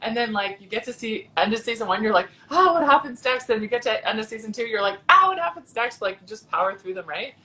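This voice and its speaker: A woman's voice: a little high, expressive, fast talker, hardly any pauses, sharp tone, poor audio. A woman hosting a casual live-stream interview, chatty and gushing, laughing often. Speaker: host.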